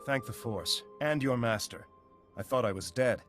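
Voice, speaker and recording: An actor playing a grave, weary, duty-bound Jedi scout in a video game. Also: male voice, mid-range voice, moderate pace, fairly bright tone, faint music behind, close to an everyday voice. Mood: relieved, dutiful. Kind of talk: video game cutscene acting.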